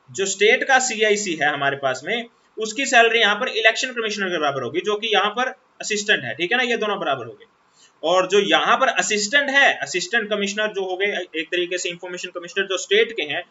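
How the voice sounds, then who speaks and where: High bright-voiced man lecturing. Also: highs cut off. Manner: explanatory, repetitive, rhetorical questions to students. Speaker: teacher. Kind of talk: exam-prep lecture.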